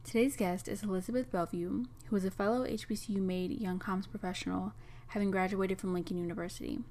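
A young woman host reading a podcast introduction in an earnest, measured way. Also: medium pitch, steady tone, mellow.

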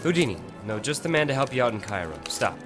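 A man acting as a dutiful stage assistant in a radio drama, polite, obedient and measured. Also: mid-range voice, sing-song, over music, natural voice. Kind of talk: old-time radio drama dialogue.

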